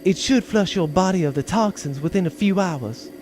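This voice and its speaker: Man putting on a high-voiced, besotted, stubborn wife. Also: normal speed, darkish tone, soft background music, close to an everyday voice. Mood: caring. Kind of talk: comic audio drama acting.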